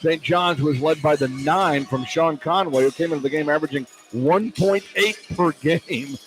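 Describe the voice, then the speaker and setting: A man's voice: a little high, normal speed, a little hiss or hum. A man working as a play-by-play announcer, giving live radio commentary on a basketball game. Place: indoor basketball arena.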